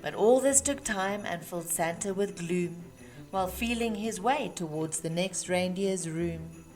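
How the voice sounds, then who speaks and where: A woman reading a rhyming children's storybook aloud with measured, emphatic phrasing for young listeners. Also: lowish voice, a little mellow. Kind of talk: expressive read-aloud of rhyming Christmas storybook. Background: music.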